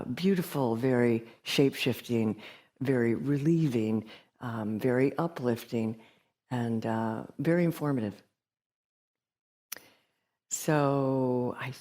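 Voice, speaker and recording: A woman gives a gentle retreat teaching talk in a soft, hesitant way, with many pauses and fillers. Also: extremely low pitch. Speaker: meditation teacher. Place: meditation hall.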